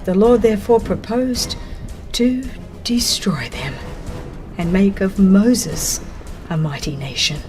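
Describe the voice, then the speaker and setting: A woman reading scripted religious narration in a solemn, measured and expressive manner. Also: medium pitch. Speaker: audiobook narrator. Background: background music.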